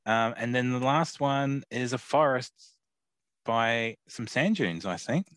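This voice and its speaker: A man's voice: medium pitch, expressive, takes it slowly, fairly bright tone, clear, resonant tone, no room sound. A man co-hosting a podcast, describing photos in a hesitant way, full of ums and easy laughs. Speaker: podcast co-host. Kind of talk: casual chat describing photos.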